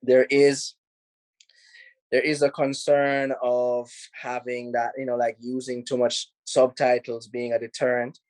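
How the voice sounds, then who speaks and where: A man's voice: medium pitch, a little slow, dry recording, low-quality recording. Laid-back Jamaican man, dry close sound. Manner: relaxed, rambling, many "you know"s, laughs easily. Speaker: film director. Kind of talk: casual interview answers. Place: home in Los Angeles.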